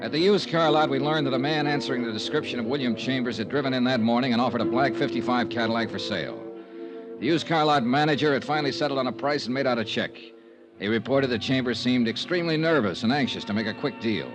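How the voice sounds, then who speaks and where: Male actor as hard-boiled insurance investigator, terse and dry, medium pitch, music underneath, vintage radio drama. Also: fast, close to an everyday voice, low-quality recording. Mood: businesslike, dry. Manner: clipped, matter-of-fact. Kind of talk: 1950s radio detective acting and narration.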